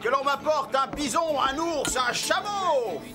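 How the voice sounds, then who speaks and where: A man's voice: very high-pitched, lively intonation, unhurried, full character voice. A man voicing a blustering, gluttonous, haughty cartoon traveller who complains in a pompous, exaggerated way. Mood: famished, outraged. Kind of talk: French cartoon voice acting. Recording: music behind the voice.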